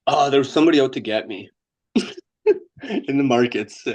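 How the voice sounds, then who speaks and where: A man's voice: mid-range voice, big pitch swings, a little slow, a little mellow. A young man from Bosnia, a guest trader, talking loosely and rambling over a video call, often tagging "you know what I mean" and "right?". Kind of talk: casual interview over a call.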